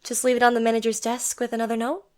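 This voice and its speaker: A woman's voice: on the high side, monotone, rapid-fire, lightly acted. A woman playing a young opera singer, tentative yet curious, in an amateur audio drama, speaking politely and earnestly.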